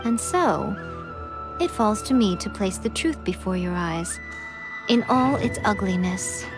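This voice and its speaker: A woman playing a sly, teasing, poised shrine priestess in an anime video game, speaking in a coy, deliberate way. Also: medium pitch, animated, music in the background, poor audio. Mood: grave, knowing.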